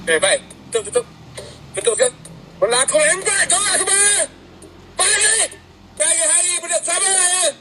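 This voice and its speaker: Loud man arguing in a street clip. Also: extremely high pitch, lively intonation, moderate pace, long pauses, a little hiss or hum, poor audio. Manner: loud, repetitive, argumentative. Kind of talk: heated street confrontation.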